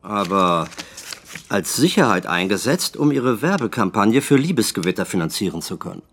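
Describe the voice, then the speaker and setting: An actor playing a dry, insistent police detective questioning suspects in a naturalistic radio drama. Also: male voice, fairly low pitch, big pitch swings, normal speed, a bit bright, a little hiss or hum. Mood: pointed, probing. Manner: pointed, controlled, sardonic. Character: probing, dryly insistent male police detective interrogating suspects.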